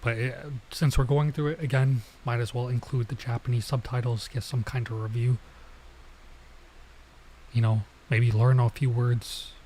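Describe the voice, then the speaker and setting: A man with a middling pitch talks as a game streamer. He reads visual novel text aloud and comments in a casual way, slowing and halting as he sounds out unfamiliar words. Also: level tone, warm tone, slight background noise. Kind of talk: casual let's play, reading dialogue aloud and commenting.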